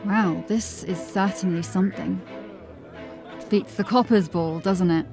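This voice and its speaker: A bold undercover watchwoman with an average-pitched voice. She is wry, teasing and confident, in fantasy audio drama style over faint music. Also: unhurried, gravelly, crowd noise. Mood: bold, amused. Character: bold, playful, catty female watch officer undercover.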